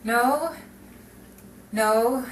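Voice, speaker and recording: A woman playing a wry, high-voiced radio-comedy friend. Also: very slow. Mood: awkward, wry. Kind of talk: 1950s-style radio comedy acting. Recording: very noisy, echoey, distant audience recording.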